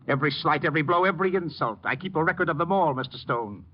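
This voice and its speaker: An actor playing a vindictive, haughty theater producer in a radio drama, speaking with pompous, menacing deliberation. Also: male voice, a little high, animated, quick pace. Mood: cold menace. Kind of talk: 1950s radio drama acting. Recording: dull, faintly noisy old radio recording.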